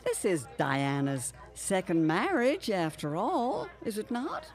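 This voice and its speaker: A woman playing a haughty, barbed older mother-in-law, a 1910s society matron in an audio drama, imperious and deliberate. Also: mid-range voice, wildly swooping pitch, a little mellow, exaggerated voice. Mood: disdainful. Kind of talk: period audio drama acting.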